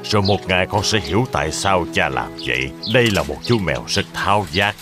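A man voicing a kindly old miller father with a gentle, reassuring manner in a children's fairy-tale cartoon. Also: sits low, lively intonation, fairly quick, music in the background. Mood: consoling.

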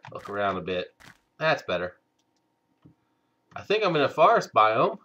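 A man hosting a game let's play, stuffed up from a cold, talking slowly with many hesitant fillers. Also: mid-range voice, big pitch swings, sharp tone, highs cut off. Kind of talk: casual gameplay commentary.

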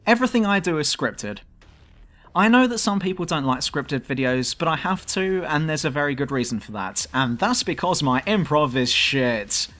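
A southern English man reads his scripted commentary in a dry, self-mocking way. His voice is on the higher side for a man, and his pitch jumps about a great deal. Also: animated, moderate pace, a bit bright. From southern England. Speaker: YouTube gaming channel host. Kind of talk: scripted commentary over gameplay.

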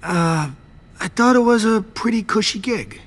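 A servile, jittery vampire garage attendant in a game cutscene. His husky voice swings widely in pitch as he ingratiates himself and dodges around things. He sounds eager to please and easily cowed. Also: expressive, normal speed. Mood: eager, explaining. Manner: pleading, deferential, evasive. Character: nervous, servile young vampire garage attendant hiding a secret. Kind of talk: video game cutscene acting.

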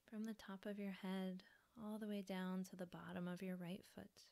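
Female meditation guide: hushed, soothing, creaky mid-range voice, leaving space between instructions. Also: mid-range voice, level tone. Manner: soft, breathy, soothing instruction with pauses. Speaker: yoga nidra teacher.

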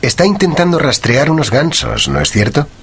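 An actor playing a shrewd, self-assured detective in a radio drama, husky, composed and formally polite. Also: male voice, a little high, expressive, moderate pace, fairly bright tone, heavily acted. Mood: polite, knowing. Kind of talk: dubbed radio drama acting.

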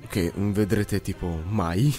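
A man's voice: sits low, fairly even intonation, slow, warm tone, soft background music. A man, an amateur voice actor, casually and jokingly greeting channel followers. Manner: jokey.

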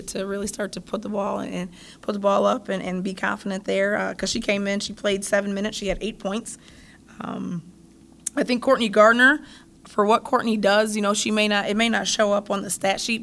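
A woman's voice: mid-range voice, creaky, a bit bright, slight background noise. A woman in her thirties, a basketball coach, answering post-game questions at a press table mic in a thoughtful way, often repeating words as she starts a phrase. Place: press room in front of a logo backdrop. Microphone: table mic in front of her.

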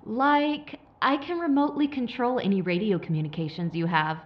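A woman's voice: fairly high pitch, normal speed, dull audio, natural voice. A woman playing a sly, menacing corporate operative in an audio drama, taunting with mock sympathy. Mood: smug, cold. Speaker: villain.

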